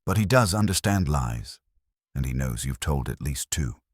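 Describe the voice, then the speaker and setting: Wry, deep-voiced detective's legman read by a man. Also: sing-song, normal speed, breathy edge, no room sound, studio quality, heavily acted. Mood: steady, pressing. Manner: plain-spoken, wry. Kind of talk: audiobook character dialogue.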